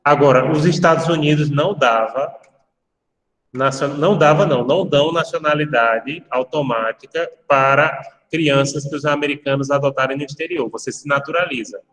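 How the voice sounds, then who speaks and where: A Brazilian man teaching a law class, talking in a fairly high voice for a man. He explains in a roundabout way, drifting into asides and tagging his points with quick questions. His voice comes from some distance off the mic, with long echo trailing after it. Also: a bit bright. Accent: Brazilian Portuguese. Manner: explanatory, digressive, frequent tag questions. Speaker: university law professor. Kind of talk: lecturing a class. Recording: echoey hall-like sound, distant from mic.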